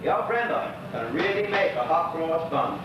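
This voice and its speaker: An actor playing a lonely, gossipy old widower with a Bermudian accent, rambling through neighbourhood memories in a folksy stage monologue. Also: male voice, lively intonation, moderate pace, natural voice. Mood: gossipy, reminiscing. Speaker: actor in a one-act monologue. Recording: echoey hall from far off, noisy, rumbling.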